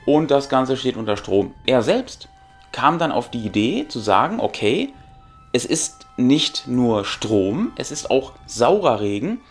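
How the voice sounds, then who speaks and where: Gravelly middle-aged man, sick and tired. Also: mid-range voice, sing-song, unhurried. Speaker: host and game master. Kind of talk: casual recap of a tabletop role-playing game to camera. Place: small furnished room with brick wall. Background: music.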